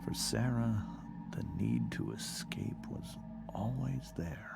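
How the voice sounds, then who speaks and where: An actor playing a troubled middle-aged American father in a video game, confessing a painful past to his daughter in measured, halting phrases. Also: medium pitch, wildly swooping pitch, gravelly, in a whisper, loud music behind the voice, heavily acted. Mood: tender regret. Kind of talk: dramatic video game monologue.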